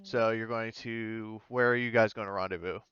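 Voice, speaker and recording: A man's voice: on the low side, steady tone, normal speed, fairly bright tone, smooth, lo-fi sound. A man casually narrating a tabletop roleplaying game as game master, speaking in hesitant, stop-start phrases.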